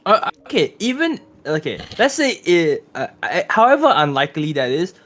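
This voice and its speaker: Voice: medium pitch, very animated, moderate pace, a bit bright, soft background music. A co-host in a casual chat who giggles often and keeps asking questions. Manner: giggly, asks many questions.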